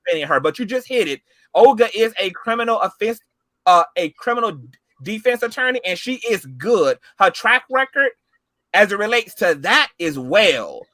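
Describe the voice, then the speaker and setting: A man's voice: high voice, bright, forceful tone, no echo. A man in his late thirties hosting a call-in talk show, chatting in a sassy, bantering way with callers. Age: 30s. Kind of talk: casual chat.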